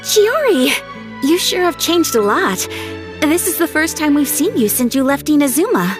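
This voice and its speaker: High, gentle young noblewoman. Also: very animated, normal speed. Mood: pleasantly surprised. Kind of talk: video game voice acting. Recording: music behind the dialogue.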